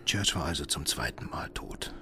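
Deep-voiced grim narrator. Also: male voice, expressive, normal speed, sharp tone, slight background noise, full character voice. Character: grim haunted husband and father narrating his horror. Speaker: narrator and lead in an audio drama. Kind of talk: scripted first-person horror narration.

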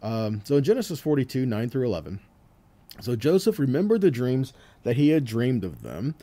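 A man's voice: mid-range voice, very animated, moderate pace, dark tone. A man giving an informal Bible teaching, talking conversationally and often checking in with rhetorical questions. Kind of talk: informal teaching sermon with scripture readings.

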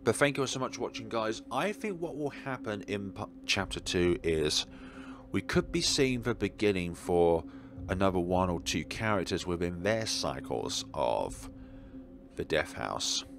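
A man with a very low, gravelly voice hosts a let's play. His pitch moves around a lot as he comments in deliberate, halting phrases, with some noise in the recording. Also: expressive.

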